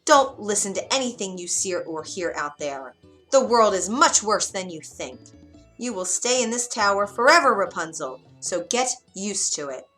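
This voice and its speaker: A woman voicing a cruel, domineering old witch who shouts harshly in a storybook. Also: lowish voice, animated, measured pace, few pauses, faint music behind. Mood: scolding. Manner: loud, harsh, scolding.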